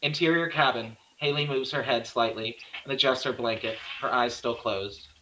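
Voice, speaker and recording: A man's voice: medium pitch, normal speed, noisy background. A man reading the stage directions of a horror screenplay in plain scripted narration.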